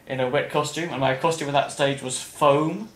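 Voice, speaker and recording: A man's voice: medium pitch, steady tone, normal speed. A middle-aged man hosting a podcast, chatting casually about working as an entertainer. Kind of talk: casual interview chat. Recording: roomy, echoing room.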